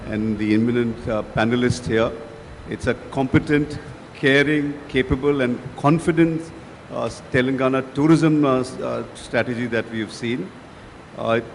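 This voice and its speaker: A man with an Indian English accent giving flowery, halting praise as a panelist. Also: mid-range voice. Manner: flowery, hesitant with fillers. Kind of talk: unscripted panel remarks. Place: large conference hall. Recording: echoey hall, faint noise.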